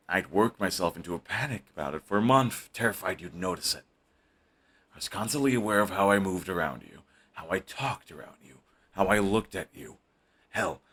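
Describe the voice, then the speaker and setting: A man's voice: deep voice, pushed and bright, slightly rough, heavily acted. A young man playing a bitter, fiercely devoted male villain in an audio roleplay, talking in an intense, raw way and swearing often. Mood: bitter, defensive.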